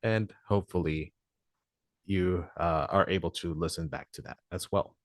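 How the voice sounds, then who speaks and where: A man presenting a software demo walkthrough in a webinar, talking hesitantly with frequent "uh" fillers. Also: low voice, normal speed, dry recording, clean studio sound.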